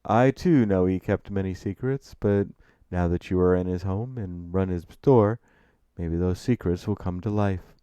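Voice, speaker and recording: Storybook mysterious older gentleman, played by a man: low, refined, deliberate, quietly insinuating. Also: smooth, dry recording. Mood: sly, insinuating. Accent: refined New England.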